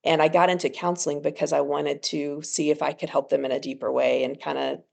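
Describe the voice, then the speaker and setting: A woman's voice: sits low, little pitch movement, a little fast, gravelly, a little mellow. A woman in her thirties from Ecuador, a counselor chatting as a podcast guest and laughing often. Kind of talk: casual podcast interview.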